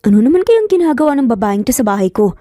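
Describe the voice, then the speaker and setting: A woman's voice: on the high side, sing-song, quick pace, mellow, dry recording, studio quality, faint music behind. A woman with a Filipino accent voicing a stern, hot-tempered young groom in an audio romance story, with hard, demanding questioning. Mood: muttering, irritated.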